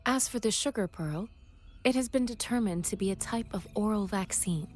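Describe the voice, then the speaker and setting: A woman's voice: mid-range voice, normal speed, a little mellow, soft background music, close to an everyday voice. A woman voicing a composed, precise researcher in a video game, speaking formally and methodically. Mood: composed, informative. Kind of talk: video game character dialogue.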